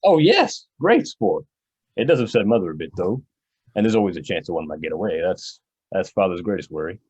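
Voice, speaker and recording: An actor playing a breezy, callous young heir with a jaunty, superior manner in an old-time radio drama, in slightly muffled sound. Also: male voice, sits low, expressive, a little fast, a little mellow, husky. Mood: breezy, amused.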